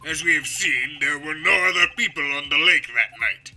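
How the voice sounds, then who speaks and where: A man's voice: a little high, moderate pace, sharp tone, faint music behind, rough recording. A man playing a haughty, contemptuous prosecutor in a game fandub, with a pompous, sarcastic delivery. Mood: imperious certainty. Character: haughty, imperious male prosecutor, coldly contemptuous. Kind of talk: theatrical video game fandub courtroom acting.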